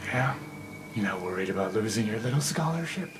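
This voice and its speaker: A man's voice: lowish voice, animated, fairly quick, over music, lightly acted. A man voicing a contemptuous, self-serving male schemer in a tabletop roleplay podcast, talking down to the heroes. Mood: sneering. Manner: contemptuous, sneering.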